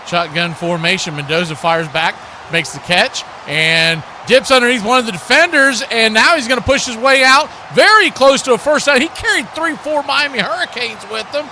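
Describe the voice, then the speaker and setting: High-pitched American man calling football, noisy audio. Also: moderate pace, bright, forceful tone. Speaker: play-by-play sports commentator. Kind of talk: live American football play-by-play commentary with casual asides.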